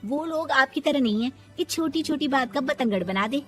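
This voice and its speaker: A high, sassy young daughter-in-law acted out by a woman from a cartoon family drama. She is proud and self-assured, quick to take offence, and speaks over background music. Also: lively intonation, a bit bright. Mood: indignant.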